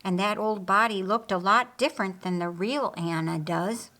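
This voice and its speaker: Woman reading as a calm, assured man. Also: mid-range voice, normal speed, lightly acted. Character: calm, confident, reflective man observing from above.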